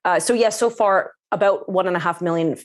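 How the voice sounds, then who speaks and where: A woman hosting a television news interview, brisk and guiding, with occasional fillers as she frames her questions. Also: fairly low pitch. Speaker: news host.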